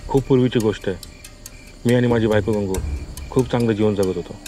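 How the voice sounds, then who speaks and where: A man's voice: fairly even intonation, fairly quick, dark tone, slight background noise, close to an everyday voice. An actor playing a sorrowful old village widower who recounts how he lost his wife, in a heavy, mournful way. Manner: low, heavy, mournful telling. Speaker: village man in a short drama. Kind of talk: drama monologue recounting the past. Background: music.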